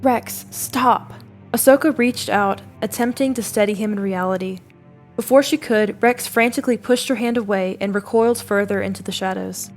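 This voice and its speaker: A woman giving scripted dramatic narration for an audio drama, telling the story in a measured, solemn way. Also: medium pitch, a little mellow, soft background music.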